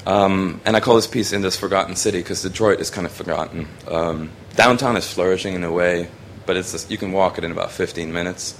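Young man from Hong Kong, deep low voice, loose rambling delivery over faint background noise. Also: brisk, few pauses. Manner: rambling, many ums and restarts. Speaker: student photographer presenting his work. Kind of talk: casual spoken presentation.

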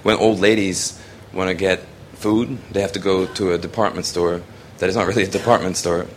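Young man from Hong Kong, deep low voice, loose rambling delivery over faint background noise. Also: fairly even intonation, a little fast. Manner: rambling, many ums and restarts. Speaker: student photographer presenting his work. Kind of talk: casual spoken presentation.

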